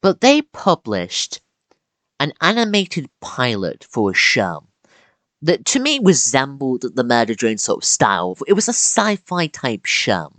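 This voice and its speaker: Animated video-essay narrator, very varied pitch. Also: unhurried, dry recording. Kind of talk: scripted narration with casual asides.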